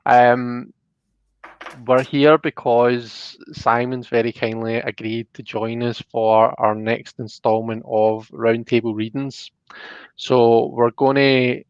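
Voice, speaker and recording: A man's voice: mid-range voice, dry recording, muffled recording. A man hosting a casual live stream in a chatty, informal, friendly way. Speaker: host.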